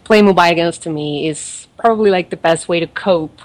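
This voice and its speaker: A woman's voice: lowish voice, fairly quick. A woman with an accent, chatting casually on a podcast and rambling with fillers and laughs as she reviews a mobile game. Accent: non-native accent, origin not stated. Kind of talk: casual chat.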